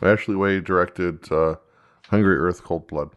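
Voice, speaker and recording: A man with a very low-pitched voice speaks as a podcast co-host. His delivery is that of informal, conversational commentary among co-hosts. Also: steady tone, unhurried, smooth, no room sound, clean studio sound. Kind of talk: casual commentary chat.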